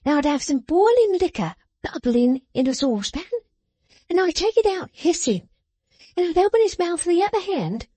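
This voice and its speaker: A woman reading aloud, voicing a sharp-tongued, bossy young dressmaker girl who scolds with snapping emphasis. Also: very high voice, very animated, moderate pace, no room sound, pitched above the performer's own voice. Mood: fierce.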